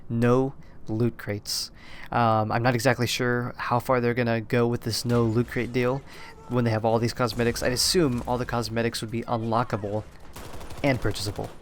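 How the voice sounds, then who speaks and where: A slightly hoarse man giving casual solo commentary on a video game reveal, rambling, often correcting himself and admitting he is unsure. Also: medium pitch, flat delivery, normal speed, a little hiss or hum. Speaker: gaming commentator.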